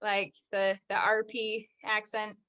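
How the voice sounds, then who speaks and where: A woman's voice: medium pitch, flat delivery, takes it slowly, bright, forceful tone, muffled recording. A young American actress chatting as a podcast guest, filling her talk with "like" and frequent laughs. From United States. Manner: chatty, says "like" constantly, laughs often. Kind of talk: casual interview chat.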